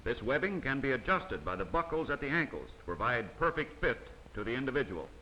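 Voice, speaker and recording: Man for a formal instructional film voice-over, bright, sharp tone, faint hiss underneath. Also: mid-range voice, very animated, a little fast, dull audio. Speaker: narrator of a training film. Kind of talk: scripted documentary narration. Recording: faint hiss of old 16mm optical soundtrack.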